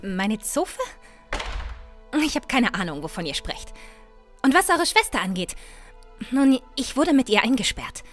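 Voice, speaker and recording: A woman playing a bold, sharp-tongued, impatient young adventuress in a fan-made fantasy audio drama. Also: a little high, sing-song, unhurried, long pauses, faint music behind. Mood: hushed, businesslike. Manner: sarcastic, bossy.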